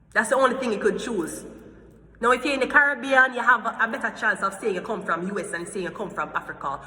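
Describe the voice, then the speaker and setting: A woman's voice: a little high, roomy sound, big-room sound. A Jamaican woman ranting casually and bluntly, swearing freely and acting out little exchanges as she talks. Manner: blunt, profane, animated storytelling with mimicked exchanges. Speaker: vlogger. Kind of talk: casual rant to camera.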